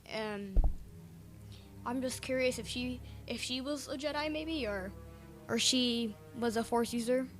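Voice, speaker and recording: A woman with a highish voice gives her take in casual fan chat. She speaks haltingly, doubling back on phrases and leaning on filler words. Soft music runs underneath. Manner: halting, repeats phrases, heavy use of "like". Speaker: podcast panelist.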